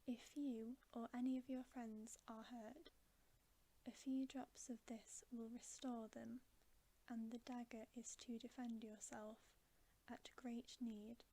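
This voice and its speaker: A woman voicing a solemn, kindly old man in a storybook, in a soft, measured whisper. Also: a little high, level tone, low-quality recording. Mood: grave.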